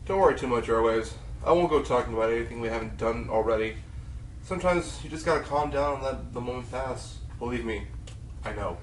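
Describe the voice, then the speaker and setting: A man's voice: mid-range voice, a little fast, background noise, natural voice. A man voicing an eager, earnest young pony news reporter in an amateur radio play. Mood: friendly, reassuring. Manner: earnest, emphatic. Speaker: voice actor in an audio drama. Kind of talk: fan radio play acting.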